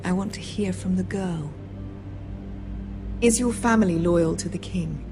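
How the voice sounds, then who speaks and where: Haughty low-voiced queen. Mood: cold interrogation. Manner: imperious, cutting, deliberate. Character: haughty, suspicious queen regent, cold and imperious. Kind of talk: dramatic video game acting. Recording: music behind the voice.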